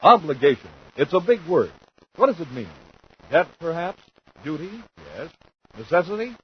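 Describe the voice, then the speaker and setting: A man announcing and narrating in emphatic, deliberate 1950s radio style, scripted and dramatic. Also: on the high side, very animated, breathy edge. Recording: dull 1950s radio recording, faint noise.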